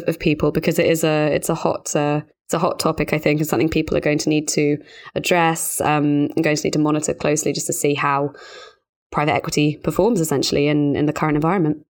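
A woman speaking as a financial journalist in a conversational industry discussion, explaining things in a measured, careful way. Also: deep voice. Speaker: financial journalist co-hosting a podcast.

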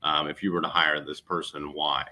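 A man with a strikingly deep voice that is also very bright and forceful. He gives career advice conversationally, as an interview guest, and often circles back to rephrase what he is saying. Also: little pitch movement, moderate pace.

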